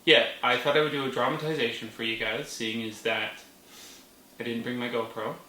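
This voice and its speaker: A person telling a story in a casual vlog manner, animated, with playful exclamations. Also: medium pitch, normal speed. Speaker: vlogger. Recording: roomy, distant mic with faint noise.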